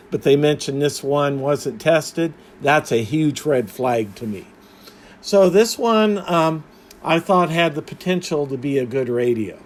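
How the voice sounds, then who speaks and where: A man hosting a hobbyist video, talking casually through a product review in a plainspoken, halting, matter-of-fact way. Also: fairly high pitch. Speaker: radio hobbyist video host. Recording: faint background noise.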